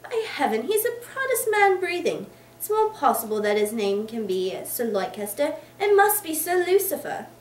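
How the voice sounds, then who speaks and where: A woman voicing a hearty, explosive, blustering older English gentleman in an emphatic, theatrical reading of a Victorian novel. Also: high voice, very animated, moderate pace, pitched above the performer's own voice. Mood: indignant, blustering. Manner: emphatic, theatrical declaiming in long tirades. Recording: echoey room, distant mic, faint noise.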